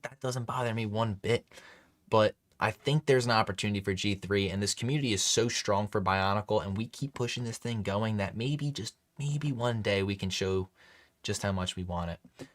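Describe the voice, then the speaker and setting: A man's voice: fairly low pitch, normal speed. Rambling man hosting a live stream. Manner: rambling, many fillers and restarts. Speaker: livestream host. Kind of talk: casual live stream chat.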